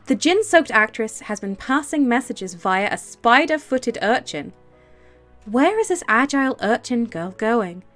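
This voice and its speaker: A woman streaming a game, chatting casually and reading its text aloud, excitable and quick to laugh, with a stuffy nose and sore throat from a cold. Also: soft background music. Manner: excitable, laughs easily, bursts into high delight.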